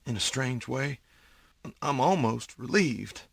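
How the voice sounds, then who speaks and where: An actor playing a weary, remorseful middle-aged judge in an audio drama, husky and subdued. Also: male voice, mid-range voice, expressive, slow pace, dry recording, exaggerated voice. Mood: weary, resigned. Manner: subdued, regretful. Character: remorseful, weary judge, older husband and father.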